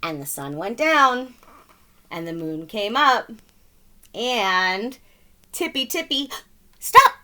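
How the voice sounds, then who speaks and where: A woman's voice: on the high side, big pitch swings, slow pace, pauses often, pushed and bright. A woman reading a children's story aloud in a dramatic, emphatic style, playful with sound words. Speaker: storybook reader. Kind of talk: animated children's read-aloud narration.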